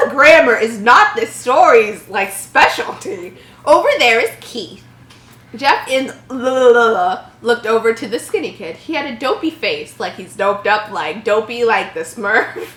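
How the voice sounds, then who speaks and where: A woman in her early twenties reading a story comedically, animated, with casual mocking commentary. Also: a little high, slow pace, few pauses. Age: early 20s. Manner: giggly, mocking asides, animated. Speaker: channel host. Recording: roomy echo, faint background noise.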